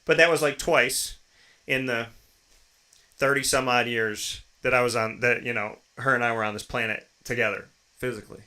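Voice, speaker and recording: American man, thirties, bright punchy voice, medium pitch, show host, emphatic motivational monologue, repeated phrases. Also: fairly even intonation, moderate pace. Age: 30s. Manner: emphatic, repeats phrases and poses rhetorical questions.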